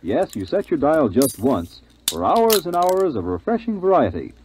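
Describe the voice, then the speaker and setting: A man's voice: on the high side, huge pitch swings, moderate pace. A man announcing and selling in 1950s radio style, with a polished, emphatic delivery. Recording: noisy, muffled 1950s radio recording.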